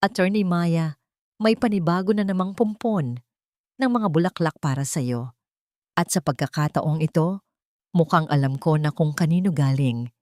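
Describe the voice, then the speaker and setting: A woman with a Filipino accent voicing a kind, soft-spoken male office colleague in an audio story. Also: low voice, normal speed, pauses often, no room sound, clean studio sound, lightly acted. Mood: warm, teasing.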